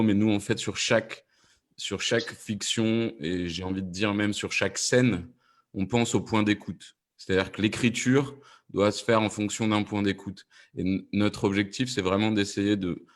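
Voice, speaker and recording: Deep voiced guy on a panel explaining stuff, keeps rewording as he goes. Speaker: podcast fiction producer on a panel.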